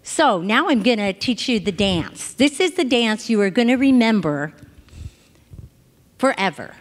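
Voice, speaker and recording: A middle-aged American woman giving an informal, conversational sermon as a reverend, teaching in an animated way and often checking in with her listeners. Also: on the high side, unhurried. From Hawaii, United States. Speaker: reverend giving a Sunday talk. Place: large indoor spiritual center, speaking to a congregation. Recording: reverberant hall sound.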